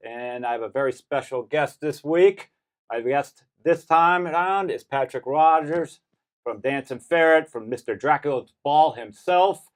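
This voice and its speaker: A man co-hosting a local TV talk show, asking eager questions that sometimes stumble. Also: fairly high pitch. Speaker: co-host.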